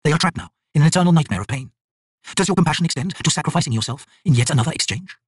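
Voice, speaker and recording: A stern young shaman of a fantasy tribe, voiced by a male narrator. He sounds cold and urgent, with a husky edge and lively, forceful pitch swings. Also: rapid-fire, no room sound, clean studio sound. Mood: grim.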